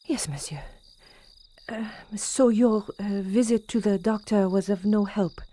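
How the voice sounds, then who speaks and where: An actress playing a concerned female servant to a French gentleman in an audio horror drama, polite, tentative and deferential. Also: mid-range voice, fairly even intonation, a little slow, lightly acted.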